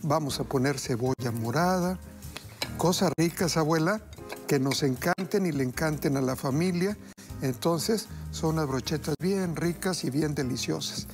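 A man's voice: a little high, normal speed, soft background music. A middle-aged man hosting a TV cooking show, chatty and folksy, talking to viewers while he cooks. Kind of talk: cooking demonstration. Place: TV studio kitchen set.

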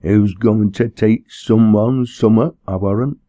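A man's voice: on the low side, steady tone, measured pace, no room sound, voice pushed up, lightly acted. A man voicing a scornful working-class village matron in an audiobook, scolding in a Lancashire accent. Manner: sharp, scolding. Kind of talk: audiobook character dialogue.

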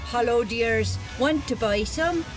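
A woman's voice: on the high side, slow pace, music in the background, low-quality recording. An actress playing a kindly working-class fairground woman who sells gingerbread, talking in a homely, colloquial way in a children's audio drama. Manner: homely, clipped colloquial speech.

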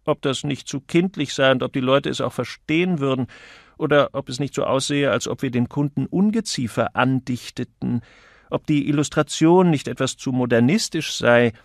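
A man's voice: medium pitch, normal speed, gravelly, no echo, studio quality, voice pushed up, lightly acted. A man voicing a cheerful, blustering office colleague in an audiobook, speaking with emphasis and mock indignation. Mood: pleased, chatty.

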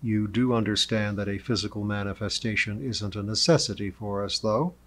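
A man voicing a calm, courteous male celestial peacemaker in a storybook, soft-spoken, measured and formal. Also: on the low side. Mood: polite, measured.

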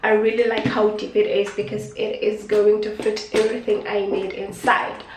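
A woman's voice: mid-range voice, flat delivery, normal speed, recorded from a distance, soft background music. A young woman from South Africa, sounding congested, chatting casually to camera as she unboxes a new handbag. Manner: chatty, affectionate, repeats herself. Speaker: beauty vlogger. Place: small indoor kitchen. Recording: echoey room, voice off-mic.

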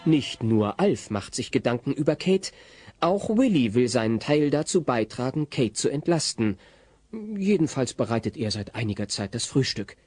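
Wry storyteller for a comedy radio play, husky and expressive. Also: male voice, mid-range voice, normal speed, no room sound, faint music behind. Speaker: narrator. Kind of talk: wry scripted narration of comedy audio drama.

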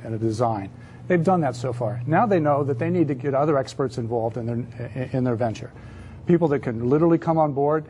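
A mellow-voiced man in his fifties explains things as a panel guest in a studio discussion. His delivery is halting, with repeated words, at a medium pitch. There is noticeable background noise. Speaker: panel guest, entrepreneurship adviser.

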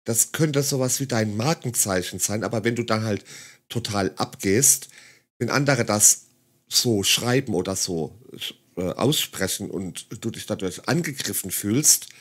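A man's voice: fairly low pitch, fairly bright tone, breathy edge. A man giving mocking, condescending commentary on a video clip in a sarcastic tone. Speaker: satirical commentator.

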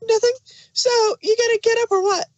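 A hyperactive young cartoon pony with an extremely high, squeaky-pitched voice. She is relentlessly cheerful and pushy, exclaiming her way through a fan audio drama, recorded close and dry. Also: fairly even intonation, brisk, a little mellow, no room sound, dull audio. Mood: chirpy, pushy.

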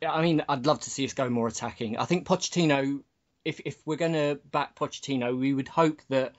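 A man's voice: mid-range voice, brisk, dull audio. A man hosting a casual sports podcast and chatting with his panel. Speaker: podcast host.

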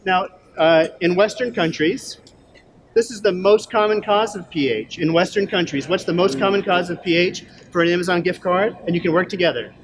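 Jokey guy quizzing people in a busy convention hall, high voice, lots of noise behind. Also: normal speed. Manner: jokey, upbeat, chatty. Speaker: roving interviewer and quiz host. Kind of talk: man-on-the-street interviews. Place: conference center hallway, indoors. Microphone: handheld mic. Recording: loud hallway background noise.

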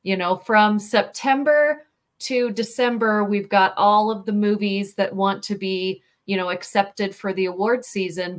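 Upbeat woman hosting on a headset. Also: medium pitch. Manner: upbeat, chatty. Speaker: host. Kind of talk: casual online interview. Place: home room with posters. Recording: video call.

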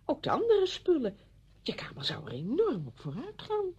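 An actress playing a chatty, meddling woman visiting an old friend in a Dutch radio play, brisk and insistent in manner. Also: sits high, wildly swooping pitch, dark tone, full character voice. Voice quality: husky, creaky.

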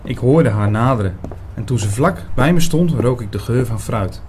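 A man's voice: mid-range voice, expressive, moderate pace, a little mellow, a little hiss or hum, lightly acted. A man playing an attentive investigator who narrates his own story in a scripted radio drama. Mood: measured, reflective. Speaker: narrator and lead actor in an audio drama.

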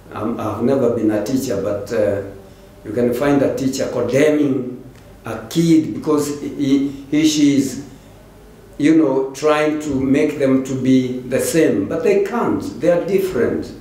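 An older man with a Kenyan accent, a pastor preaching a sermon slowly and deliberately into a pulpit microphone in a large church. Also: mid-range voice, dark tone. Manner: slow, deliberate preaching with repeated phrases. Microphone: stand mic at the pulpit. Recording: very echoey church hall.

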